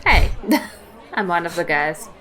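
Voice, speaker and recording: A woman's voice: lowish voice, expressive, a little slow, fairly bright tone. A woman playing a kindly, fussing old granny adventurer in a tabletop game. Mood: fussing, teasing.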